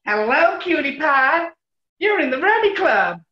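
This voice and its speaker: An actress playing a brassy New York character with an American accent, brash and animated, in 1940s radio comedy style. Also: female voice, high-pitched, measured pace. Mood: brassy, wistful. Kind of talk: 1940s radio play acting. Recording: roomy, muffled online recording.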